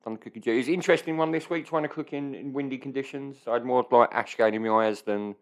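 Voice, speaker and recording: A man's voice: medium pitch, fast. A man, co-hosting a podcast in casual, rambling banter full of swearing and rhetorical questions. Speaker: podcast co-host.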